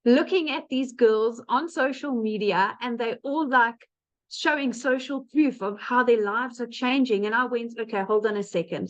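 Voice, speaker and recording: A woman's voice: fairly high pitch, fairly bright tone. A woman with a South African accent giving a chatty webinar testimonial over a video call, with frequent "um" fillers. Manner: chatty, frequent "um" fillers, laughs between phrases. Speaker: guest speaker. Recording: muffled lo-fi online call audio.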